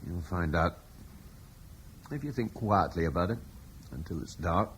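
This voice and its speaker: A man's voice: deep voice, expressive, a little slow, slight background noise. An eloquent older Roman-born father. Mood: reflective. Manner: reflective and persuasive, eloquent. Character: thoughtful older Romano-British father, idealistic. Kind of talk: radio drama acting.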